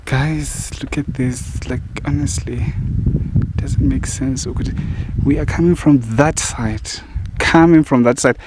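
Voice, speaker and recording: A man's voice: medium pitch, steady tone, a little slow, heavy background noise. South African man chatting casually outdoors. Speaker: vlogger. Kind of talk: casual chatty vlog talk. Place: outdoors on a mountain walk. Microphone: camera microphone, no separate mic.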